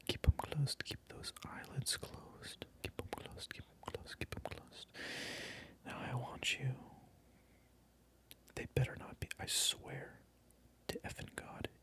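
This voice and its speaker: A man whispering through a comedic ASMR role-play, mock-indignant and repeating his phrases over and over. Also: mid-range voice. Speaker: ASMR creator.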